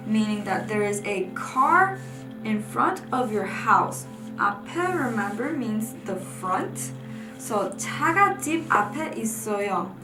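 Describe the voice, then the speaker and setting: Young woman teacher going through whiteboard examples, kinda high voice, roomy echo. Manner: clear, patient explaining, often pointing at a whiteboard. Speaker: language teacher. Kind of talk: scripted lesson narration.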